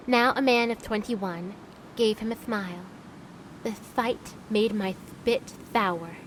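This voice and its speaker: Woman playing a slow, high-voiced young seafarer. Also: a little hiss or hum, natural voice, poor audio. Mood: resentful. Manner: deliberate, theatrical storytelling. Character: bitter, adventurous young seafaring woman recounting her youth. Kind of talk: scripted dramatic monologue narration.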